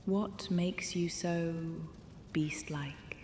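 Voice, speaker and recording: A woman's voice: very slow, a little mellow. A woman playing a provocative, teasing noblewoman, languid and half-whispered, in an audio drama. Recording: echoey hall, faint rumble.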